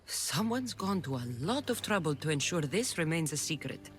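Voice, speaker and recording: A woman's voice: sits low, sing-song, moderate pace, soft background music. A woman playing a confident, skeptical mercenary heroine in a video game, dry and teasing. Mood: businesslike, curious. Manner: dry, teasing, self-assured. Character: wry, confident, skeptical female ancient Greek mercenary heroine. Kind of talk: dramatic video game acting.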